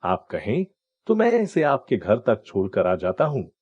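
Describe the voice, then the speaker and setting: Man playing a sly, husky swindler. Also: on the low side, huge pitch swings, measured pace, no echo, muffled recording, full character voice. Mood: ingratiating. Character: sly swindler, falsely respectful adult man. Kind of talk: Hindi children's moral story dialogue.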